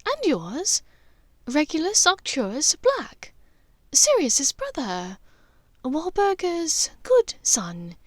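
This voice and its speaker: A woman voicing an aristocratic mother in an audiobook, gentle and wistful, with a measured, hesitant, softly emotional delivery. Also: high-pitched, dry recording, pitched above the performer's own voice, exaggerated voice. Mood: wistful, fond. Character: aristocratic mother, gentle, wistful, confiding old family memories. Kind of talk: dramatized audiobook character dialogue.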